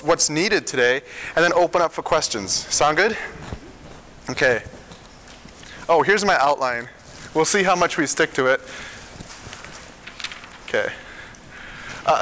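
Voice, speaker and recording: A man's voice: a little high, sharp tone, a little hiss or hum. A young man from Singapore and Malaysia giving an informal talk to a small student group, telling stories in a conversational way with frequent chuckles and fillers.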